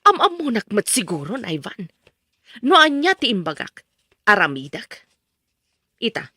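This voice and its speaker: A woman's voice: medium pitch, big pitch swings, unhurried, bright, forceful tone, breathy edge, no room sound, studio quality, heavily acted. A woman playing a stern but supportive middle-aged mother in an audio drama, firm and lecturing, with an Ilocano accent. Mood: angry, firm.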